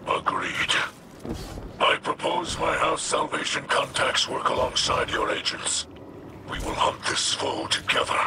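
An actor playing a brooding, haunted alien warrior captain in a video game, solemn and formal with a gravelly, breathy rasp. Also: male voice, a little high, very animated, background noise. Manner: solemn, formal, halting delivery. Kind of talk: dramatic video game acting.

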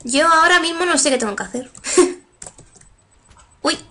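A woman's voice: extremely high pitch, takes it slowly, bright, forceful tone. Giggly young woman playing a game. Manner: giggly, reads game text aloud, squeals when startled. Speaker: let's play gamer. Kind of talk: casual gameplay commentary.

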